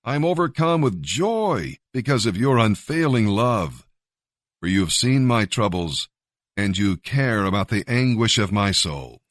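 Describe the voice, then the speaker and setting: A man reading scripture and devotional commentary from a script in a measured, earnest manner. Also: lowish voice, big pitch swings, dry recording, studio quality. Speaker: Bible reader and devotional narrator. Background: music.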